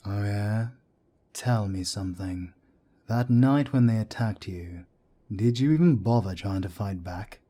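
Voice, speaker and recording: A deep, husky-voiced werewolf elder played by a man in an audio drama. He is a wry mentor who talks slowly and deliberately, with a dark, mellow tone. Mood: wry, amused. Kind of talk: audio roleplay drama acting.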